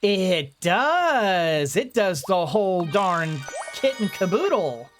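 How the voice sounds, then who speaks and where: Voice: mid-range voice, very animated, slow, a bit bright, faint music behind. A game streamer giving casual commentary, rambling and thinking aloud while playing.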